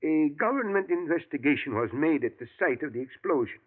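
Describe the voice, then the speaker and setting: An actor playing an elderly male professor, a thoughtful scientist who talks in a measured way and chuckles often, in a 1940s radio drama. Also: a little high, expressive. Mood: confiding. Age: older. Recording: tinny lo-fi old radio broadcast.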